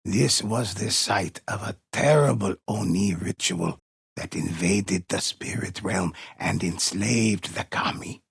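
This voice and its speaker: Deep gravelly old storyteller. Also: male voice, no echo, full character voice. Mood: ominous, solemn. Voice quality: gravelly, husky. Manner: measured, theatrical, savoring words. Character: male storyteller recounting ghostly samurai legends, inviting, solemn. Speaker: storyteller narrator. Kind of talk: dramatic scripted tale-telling.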